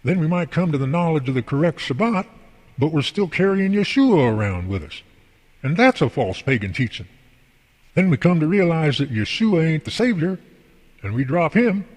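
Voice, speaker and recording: A man's voice: fairly high pitch, very animated, moderate pace, a little mellow. Man acting as folksy devout boss, reverberant voice. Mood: earnest, preaching. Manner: folksy, preachy, explaining at length. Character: folksy, devout male boss and craftsman, earnest mentor. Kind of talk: amateur radio drama dialogue. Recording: long hall reverb.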